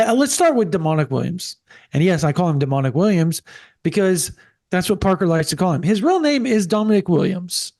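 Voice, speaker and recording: A man co-hosting a casual sports podcast, sounding nasal and stuffed up from allergies, with an animated, drawling way of talking. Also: sits high, dry recording, clean studio sound. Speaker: podcast co-host.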